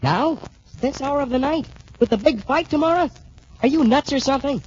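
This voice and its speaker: An actor playing a skeptical, loyal student sidekick with slangy, exclamatory talk in a 1940s radio serial. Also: male voice, very high-pitched, animated, moderate pace, darkish tone. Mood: exasperated. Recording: muffled, noisy 1940s radio recording.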